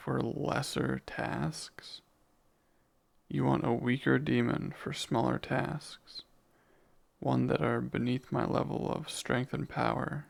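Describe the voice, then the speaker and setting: Man playing a very gravelly, devoted demon. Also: mid-range voice. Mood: jealous, questioning. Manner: slow, formal, deliberate phrasing. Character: devoted, jealous, menacing male demon bound to a master. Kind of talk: ASMR romance roleplay.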